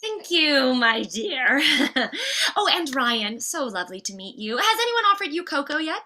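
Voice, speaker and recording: Woman playing high, bright, sugary Mrs. Claus. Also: sing-song, a little slow, full character voice. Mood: sweet. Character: Mrs. Claus, old, sweetly cheery, nagging wife. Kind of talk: table read comedy acting.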